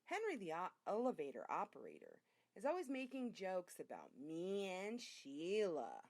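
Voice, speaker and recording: A woman reading a children's story aloud as a narrator, in an expressive storytelling way. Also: fairly low pitch, normal speed, creaky, dry recording. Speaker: reader.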